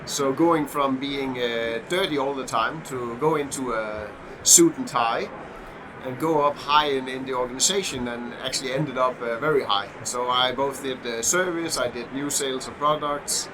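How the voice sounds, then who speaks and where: A middle-aged man from Denmark with a Danish accent, a podcast guest who talks in a halting way with many fillers and restarts. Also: mid-range voice. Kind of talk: casual podcast interview. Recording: noisy background hum.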